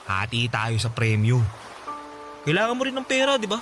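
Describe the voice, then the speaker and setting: Young Filipino friend, easygoing, consoling, casual radio drama talk, soft music underneath. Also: male voice, mid-range voice, wildly swooping pitch, normal speed. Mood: reassuring, upbeat.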